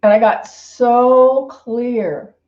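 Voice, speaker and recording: A woman's voice: a little high, fairly even intonation, extremely slow. A woman minister giving an informal, unscripted spiritual talk in a reflective, conversational way, often repeating and rephrasing her phrases. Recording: roomy, slightly dull lo-fi sound.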